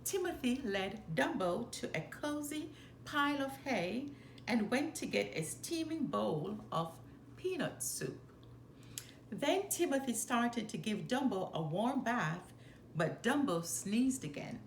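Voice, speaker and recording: A woman narrates a children's storybook with lively, swooping pitch and a fairly high voice. She takes her time over each phrase in a deliberate, animated read-aloud style. The room adds noticeable echo. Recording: roomy echo, faint background noise.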